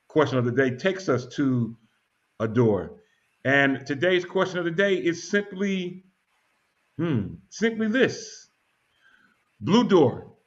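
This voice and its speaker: A man hosting a casual live talk show, easygoing and chatty, reading out viewer comments and often stumbling with "uh" and repeated words. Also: medium pitch. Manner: easygoing, repeats himself and fills with "uh". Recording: dull lo-fi audio.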